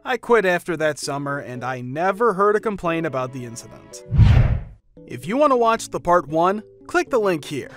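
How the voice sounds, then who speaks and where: A man narrating first-person workplace stories from a script, with sudden jumps up in pitch and loudness mid-sentence. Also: sing-song, moderate pace. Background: faint background music.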